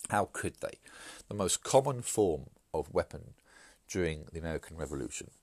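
Very deep-voiced stuffy podcaster. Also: male voice, expressive, a little mellow, husky, dry recording. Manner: measured, hesitant with fillers and restarts. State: congested from hay fever. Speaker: podcast host. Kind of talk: solo podcast commentary.

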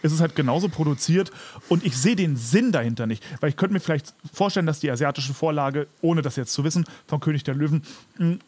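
A man's voice: fairly high pitch, fast, a little mellow, husky. A man in a casual podcast chat, ranting emphatically and with plenty of exaggeration. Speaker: podcast co-host.

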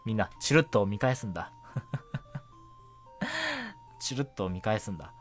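A young man hosting a casual solo radio show, talking in a halting way as he thinks aloud. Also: lowish voice, huge pitch swings. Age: young adult. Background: background music.

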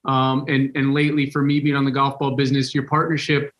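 A man guesting on a casual podcast chat, speaking in a measured, friendly and businesslike way. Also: mid-range voice, completely flat delivery, a bit bright. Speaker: podcast guest, equipment company rep.

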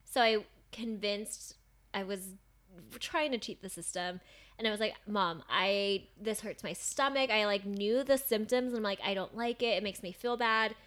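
A woman's voice: medium pitch, moderate pace, a bit bright. Nasal young woman, chatty guest. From Northern California. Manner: chatty, storytelling, self-deprecating. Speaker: podcast guest. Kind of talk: casual chat.

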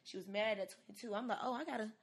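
A woman's voice: medium pitch, very fast, slightly rough. A woman in her twenties giving casual, conversational advice in a candid, emphatic way, as a podcast host. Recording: noticeable background noise.

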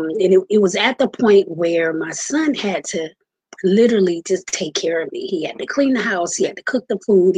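A woman in her forties hosting her own podcast, telling her personal story earnestly with many pauses and fillers. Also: medium pitch, breathy edge, dry recording, low-quality recording. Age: 40s. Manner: earnest, halting with many ums. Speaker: podcast host. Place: home, just moved in.

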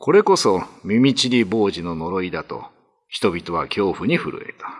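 A man's voice: low-pitched, very animated, unhurried. A man narrating a classical ghost story for radio drama in a theatrical, ominous, scripted style. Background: music.

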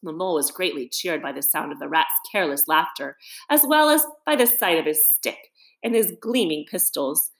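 A woman reading a children's story aloud as expressive scripted narration. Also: sits low, moderate pace. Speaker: storybook reader. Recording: roomy, echoing sound.

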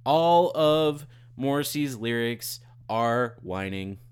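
A man's voice: mid-range voice, slow, smooth. A man with an Oklahoma accent, co-hosting a podcast in casual chat, ranting and swearing. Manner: profane, ranting, animated. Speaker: podcast co-host.

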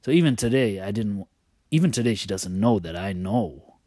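A man with a lowish voice talks casually and openly about his own past. He thinks aloud, halting and wandering before picking the story back up. The sound is very dry, with no room echo. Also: lively intonation, dark tone. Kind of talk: casual, confessional personal storytelling.

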